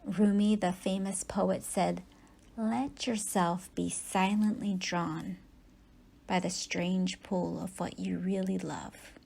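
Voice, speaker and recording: A woman meditation teacher leading a guided yoga nidra practice in soft, breathy, unhurried phrases with long pauses. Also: lowish voice, steady tone.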